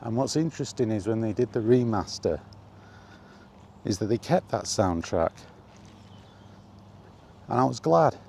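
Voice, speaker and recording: A man hosting a casual, rambling chat, thinking aloud with hesitant pauses. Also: on the low side, animated, gravelly, darkish tone. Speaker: video host reminiscing about games. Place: on the move, walkie talkie vlog. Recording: faint ambient background noise.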